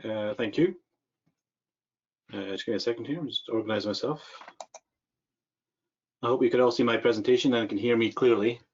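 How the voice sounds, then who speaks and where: A middle-aged Scottish man giving a measured webinar presentation as a government mental health advisor, pausing often with "uh". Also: medium pitch, slight background noise. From Scotland. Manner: measured, many "uh" pauses. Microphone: video call. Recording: dull lo-fi video call audio.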